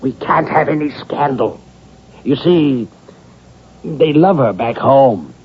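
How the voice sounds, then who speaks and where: An actor playing a pragmatic, blustering university president, halting and exasperated, in a radio drama. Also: male voice, mid-range voice, lively intonation, husky. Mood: wheedling pressure. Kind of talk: vintage-style radio drama acting. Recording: dull, noisy old radio broadcast recording.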